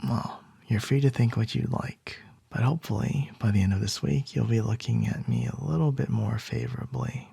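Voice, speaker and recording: A man playing a calm, charming male CEO boss in an ASMR roleplay, soft and reassuring with a light teasing edge. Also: mid-range voice, normal speed, gravelly, hardly any pauses, breathy edge, no echo, heavily acted. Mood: soothing.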